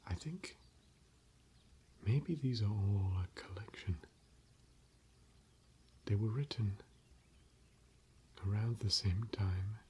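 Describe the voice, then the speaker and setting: A man softly whispering as a gentle scholar of ancient elvish lore, in an ASMR roleplay. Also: fairly low pitch, slow, long pauses, slight background noise. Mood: hushed. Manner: soft whispering. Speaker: ASMR performer.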